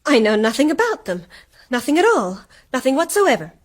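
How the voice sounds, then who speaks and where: An actress playing a weary, grieving wife in a radio play, hushed and brooding, at times slipping into a whisper. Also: female voice, sits high, very animated, brisk, dry recording. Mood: guarded.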